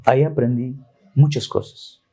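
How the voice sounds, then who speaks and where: A man whose first language is Tzotzil, speaking in solemn, measured declarations. His voice is warm and dark, at a middle pitch. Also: creaky, slightly rough. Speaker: former prisoner.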